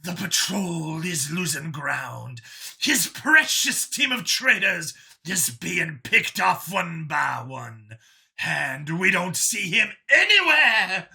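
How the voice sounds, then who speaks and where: A man voicing an arrogant, taunting alien demagogue preacher in an audio story, with bombastic, drawn-out oratory. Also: high voice, sing-song, creaky, pushed and bright, voice pushed up, heavily acted. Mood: contemptuous, taunting. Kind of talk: audio story character, ranting speech.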